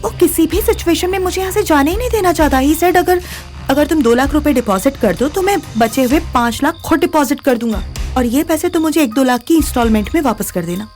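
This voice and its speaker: A woman's voice: high-pitched, expressive, brisk, a little mellow, soft background music. A woman playing an anxious but shrewd young woman in an audio drama, urgent, with an Indian accent. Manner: urgent, mixes Hindi with English.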